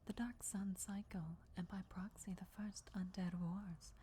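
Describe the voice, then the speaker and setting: A woman voicing an ancient, loyal vampire countess in a formal, deferential, measured whisper for fantasy ASMR roleplay. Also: lowish voice, warm tone, slight background noise, lightly acted. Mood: solemn, secretive. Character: ancient female vampire countess, devoted herald's speaker, formal and reverent.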